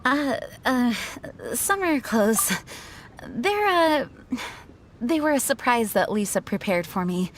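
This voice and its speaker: A woman's voice: fairly high pitch, expressive, takes it slowly, slight background noise. A woman voicing a dutiful, protective young female knight in a video game, composed, earnest and slightly formal. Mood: self-conscious. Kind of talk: video game character acting. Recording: music playing behind the voice.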